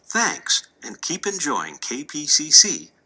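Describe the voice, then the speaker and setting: A man's voice: on the high side, wildly swooping pitch, slow. A man reading a playful scripted promo, chatting straight to the listener with cheeky instructions. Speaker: presenter of a recorded donation appeal. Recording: loud music under played-back promo. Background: loud music.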